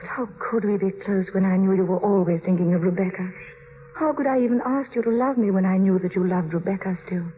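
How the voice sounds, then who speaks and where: A woman's voice: medium pitch, a little fast, a little hiss or hum, close to an everyday voice. A woman playing an anxious, devoted young wife in a 1950s radio drama, with an earnest, pleading delivery. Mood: anguished. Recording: narrow-band vintage radio recording with music.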